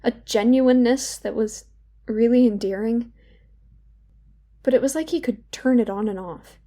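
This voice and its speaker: A woman playing a regretful, hurt young woman who speaks haltingly in an intimate audio drama confession. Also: on the high side, warm tone. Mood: conflicted, reflective. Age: young adult. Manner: hesitant, halting, with repeated words and pauses. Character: regretful, wounded young woman confiding in therapy.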